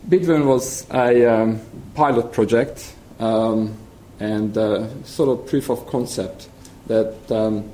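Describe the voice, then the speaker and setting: A man with a Hungarian accent giving a conference presentation as a software engineer, hesitant and full of ums and restarts. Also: lowish voice, slow, few pauses, roomy sound, a little hiss or hum, low-quality recording.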